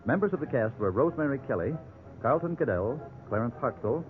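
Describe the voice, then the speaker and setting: A formal American male announcer reads scripted copy in 1950s radio style at a medium pitch. The sound is narrow and tinny, with faint music behind him. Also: animated, a little fast.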